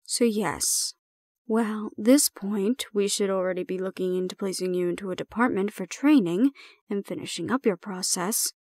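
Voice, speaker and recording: A woman playing a composed, stern female supervisor in an audio roleplay, speaking in a measured, formal and deliberate way. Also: mid-range voice, darkish tone, no room sound, studio quality, lightly acted. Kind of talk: scripted audio roleplay acting.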